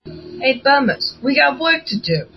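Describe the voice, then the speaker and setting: A woman's voice: high voice, expressive, slow, fairly bright tone, a little hiss or hum, voice pushed up, exaggerated voice, low-quality recording. A woman voicing a cocky, street-smart teenage newsboy who calls out brashly in a storybook reading. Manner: brash, calling out.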